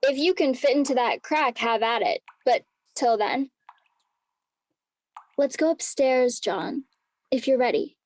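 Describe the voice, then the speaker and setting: A woman playing a calm, wry, seasoned mentor, assured and blunt, in a dramatic staged reading. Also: sits high, measured pace, long pauses, no echo, poor audio. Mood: reassuring.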